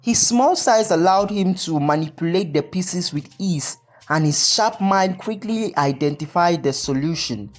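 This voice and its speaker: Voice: mid-range voice, animated, a little slow, few pauses. A storyteller reading a scripted folktale narration.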